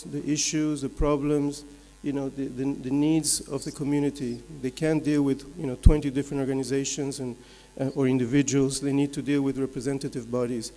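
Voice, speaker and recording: A man from Belgium, giving a measured, explanatory slide presentation as a conference speaker, point by point. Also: on the high side, dark tone. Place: large conference hall. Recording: echoey hall with long reverb.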